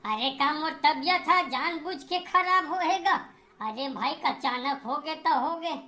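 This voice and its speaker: A woman voicing a whining, sick village husband in a comedy cartoon, groaning and complaining in drawn-out phrases with a Chhattisgarhi accent. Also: sits high, bright, forceful tone. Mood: whining, pleading.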